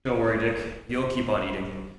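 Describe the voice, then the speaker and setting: An actor playing a teasing, avuncular crimefighter off duty in an old-time radio play, chummy and slangy. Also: male voice, on the low side, steady tone, normal speed, lightly acted. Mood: earnest. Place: theatre stage, live performance. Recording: echoey hall stage, light noise.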